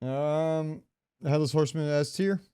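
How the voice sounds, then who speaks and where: A man's voice: on the high side, a little slow, warm tone, clear, resonant tone. A man co-hosting a gaming podcast in casual, informal chat, swearing freely and laughing easily. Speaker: podcast co-host. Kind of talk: casual chat about video games.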